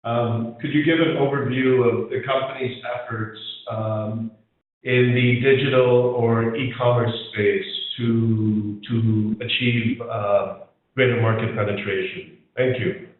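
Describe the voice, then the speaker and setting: A man's voice: mid-range voice, fairly even intonation, slow pace. Male conference call questioner, polite and hesitant, thin telephone audio with strong room echo. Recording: very echoey, tinny phone line.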